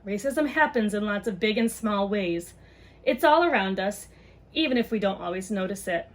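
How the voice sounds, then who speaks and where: A woman's voice: mid-range voice, animated, normal speed. A woman reading a children's book aloud and chatting casually to parents, explaining things simply.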